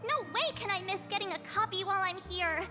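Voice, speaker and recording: A very high, perky young girl adventurer from an anime-style game. She is eager and excitable, exclaiming brightly over clearly audible music. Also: fast, in a large hall. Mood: bubbly, excited. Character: cheerful, excitable young girl adventurer, comic-book fan. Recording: music behind the voice.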